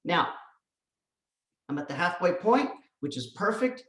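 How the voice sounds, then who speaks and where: A man's voice: high voice, sing-song, unhurried, fairly bright tone. Man lecturing in an echoey room. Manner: explanatory, conversational asides, rhetorical questions. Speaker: presenter, education abroad specialist. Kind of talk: academic presentation, semi-scripted lecture. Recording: roomy echo, distant microphone.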